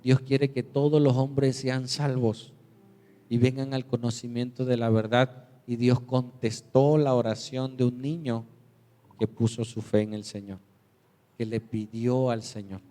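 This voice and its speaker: A man's voice: level tone, measured pace, warm tone. Man preaching in a reverberant hall. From Mexico. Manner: storytelling, acts out quoted voices with big pitch jumps. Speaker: pastor. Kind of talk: sermon. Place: church sanctuary.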